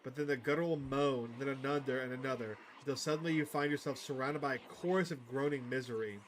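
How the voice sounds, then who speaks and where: A man's voice: medium pitch, steady tone, quick pace, a little hiss or hum. A man hosting a gaming video, reading visual novel narration aloud and adding casual commentary. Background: music.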